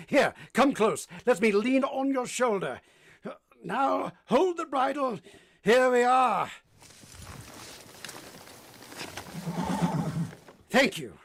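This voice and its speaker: An actor playing an imperious, blunt middle-aged English master of the house in a vintage-style radio drama. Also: male voice, sits high, fairly bright tone, exaggerated voice. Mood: brusque, inquisitive.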